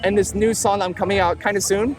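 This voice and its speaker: A man's voice: high-pitched, steady tone, brisk. A young man whose first language is Tagalog, a music producer, talking casually and rambling with many fillers in an interview outdoors at the beach. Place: outdoors at a beach, traffic nearby. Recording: music playing under the voice.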